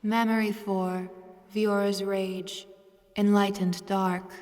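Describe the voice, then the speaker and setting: A woman's voice: mid-range voice, little pitch movement, slow pace, darkish tone. A woman announcer reading a scripted, dramatic podcast introduction in a formal, poetic manner.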